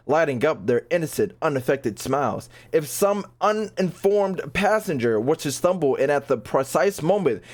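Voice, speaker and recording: A man livestreaming a video game, chatting casually with slang and swearing, often repeating himself and stumbling over words. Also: fairly high pitch. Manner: stumbles often when reading aloud; slangy, profane, repeats phrases emphatically. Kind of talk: casual livestream commentary, reading game text aloud and chatting.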